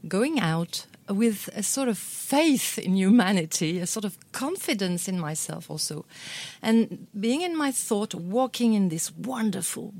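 Woman, author answering questions, thoughtful, repeats words, medium pitch. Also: a little mellow. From France. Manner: thoughtful, often repeats words while searching. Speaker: author guest at a book club. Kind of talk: reflective interview answers. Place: public event in a bookstore.

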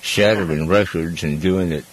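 Deep-voiced, folksy middle-aged man. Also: fairly even intonation, moderate pace, rough recording. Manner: folksy, easygoing. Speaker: radio talk show host. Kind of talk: casual chat.

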